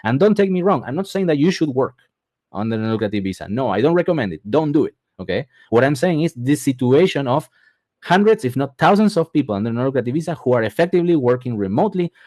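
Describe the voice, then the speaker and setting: A man's voice: mid-range voice, big pitch swings, fast talker, a little mellow, dry recording. Argentine-born man giving a webinar talk. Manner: repeats words for emphasis, frequent "okay?" check-ins. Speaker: immigration advisor presenting a webinar. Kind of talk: explanatory webinar presentation.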